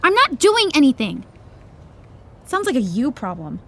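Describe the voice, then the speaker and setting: A young woman playing an excitable, breezy best friend in an audio drama, slangy and playfully complaining. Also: sits high, a little slow, exaggerated voice. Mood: excited, jittery. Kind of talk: naturalistic audio drama acting.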